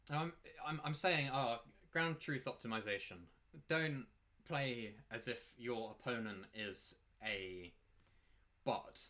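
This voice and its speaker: Male poker streamer, mid-pitched, bright forceful tone, casual rambling commentary with emphatic rhetorical questions. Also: takes it slowly. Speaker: poker player and coach commentating his own play.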